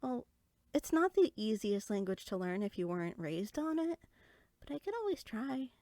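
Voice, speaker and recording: A woman playing an inquisitive, friendly young fairy sorcerer in a conversational roleplay audio drama, chatty and eager with soft asides. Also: fairly high pitch, big pitch swings, normal speed, dry recording, studio quality.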